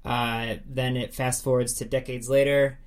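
A man's voice: medium pitch, level tone, unhurried, clear, resonant tone. An American man co-hosting a book podcast, talking in a casual, informal and effusive way. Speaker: podcast co-host discussing upcoming books.

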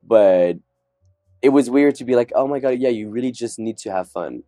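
A man's voice: mid-range voice, moderate pace, warm tone, dry recording, clean studio sound. A man, a podcast guest chatting candidly and jokingly, acting out quotes. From France. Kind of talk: casual interview chat.

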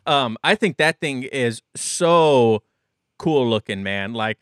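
Lively, joking podcast host man. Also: medium pitch, takes it slowly, dry recording, studio quality. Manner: joking, animated, self-deprecating. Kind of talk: casual podcast interview.

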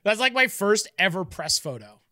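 Thirties guy cohosting a podcast, sharp bright voice, real lively, blunt, keeps repeating himself, dry sound. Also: high-pitched, normal speed, dry recording. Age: 30s. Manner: repetitive, insistent, blunt. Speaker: podcast co-host. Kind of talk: casual comedic banter.

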